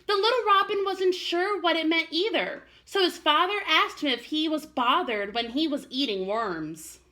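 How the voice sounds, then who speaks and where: A woman voicing a sweet, patient mother raccoon who gently explains things in a picture book. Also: sits high, normal speed, pitched above the performer's own voice. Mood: patient, explaining. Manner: gentle explaining in storytelling phrases. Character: sweet, patient mother raccoon telling her cub a tale.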